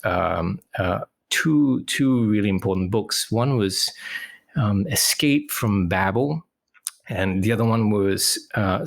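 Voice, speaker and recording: Gravelly low-voiced man from Singapore. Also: no room sound. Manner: quick, halting speech with many fillers and restarts. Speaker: psychologist guest. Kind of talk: casual interview talk.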